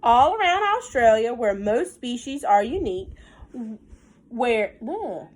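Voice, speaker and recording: A woman's voice: a little high, very animated, a little slow, darkish tone. A woman with a Southern US accent, a teacher reading a picture book aloud to children in a sing-song way with folksy, casual asides.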